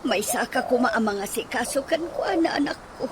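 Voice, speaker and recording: A worried middle-aged mother in a radio play, played by a woman, speaks with a Filipino accent in a high voice. She is motherly, fretful and urgent, over audible noise. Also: lively intonation, moderate pace, close to an everyday voice. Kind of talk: radio drama acting.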